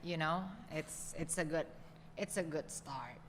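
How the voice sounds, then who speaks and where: A woman joining her husband on camera, talking in a halting, friendly way, often searching for words. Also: low-pitched. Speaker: host's wife. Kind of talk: casual chat. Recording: long reverb, faint ambient noise.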